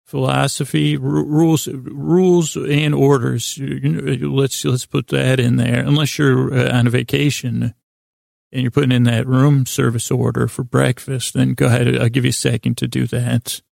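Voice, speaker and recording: Guy doing a sleep podcast, super creaky gravelly voice, rambles and stumbles around, really dry close mic. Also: medium pitch, level tone, darkish tone, dry recording. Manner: meandering, halting, repeats himself, absurd asides. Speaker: podcast host. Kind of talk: rambling bedtime monologue to help listeners sleep.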